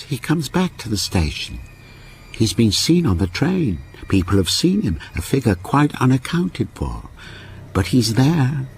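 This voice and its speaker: An actor playing a lonely, obsessive older man in a radio ghost story, with a brooding, deliberate delivery that repeats broken phrases. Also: medium pitch, a little mellow, slight background noise. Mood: haunted, obsessive. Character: lonely, obsessive, sinister old railwayman ghost narrating his story. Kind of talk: radio drama monologue.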